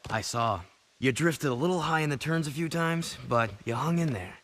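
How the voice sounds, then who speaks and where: An actor playing a terse, brooding young hero in a video game. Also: male voice, on the high side, moderate pace, a bit bright, natural voice. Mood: approving.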